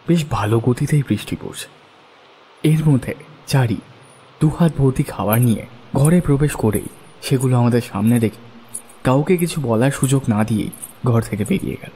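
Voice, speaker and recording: Mellow, husky male story narrator. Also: medium pitch, rough recording.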